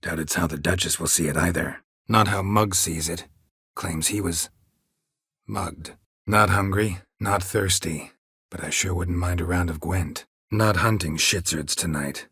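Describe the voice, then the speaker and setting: A man playing a gruff, stoic, sardonic monster-hunting mercenary in a video game, terse and deadpan with a gravelly voice. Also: low voice, unhurried, no echo, clean studio sound, heavily acted. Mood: dry, guarded. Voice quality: gravelly, husky. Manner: terse, deadpan, clipped sentences. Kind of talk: video game dialogue acting.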